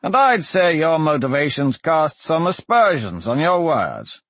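A man voicing an older, stern and sardonic magistrate with measured, formal speech in a fantasy audiobook. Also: on the high side, sing-song, fairly bright tone, dry recording, voice pushed up, exaggerated voice. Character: older grey-browed male magistrate, dry, stern, sardonic. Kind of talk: fantasy audiobook character dialogue.

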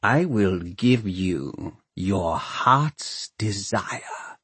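A man's voice: medium pitch, sing-song, slow pace, a bit bright, slightly rough, no echo, pitched above the performer's own voice, full character voice. A man voicing a commanding, coolly ruthless male Lord Mayor with an imperious, clipped manner in an audiobook.

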